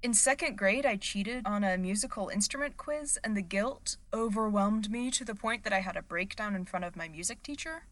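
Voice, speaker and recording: A woman's voice: medium pitch, normal speed, few pauses, a bit bright, natural voice. Nervous, hesitant woman understudy played by an actress. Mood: sheepish. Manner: hesitant, informal, flustered. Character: nervous, clumsy woman understudy and booth technician. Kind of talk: audio fiction acting.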